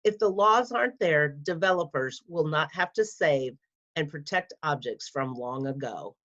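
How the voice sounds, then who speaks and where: A woman's voice: sits low, lively intonation, normal speed, a bit bright. A woman reading a scripted article aloud for children, with careful, enunciated classroom delivery. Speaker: school media specialist reading an article aloud to pupils. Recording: dull, lo-fi sound.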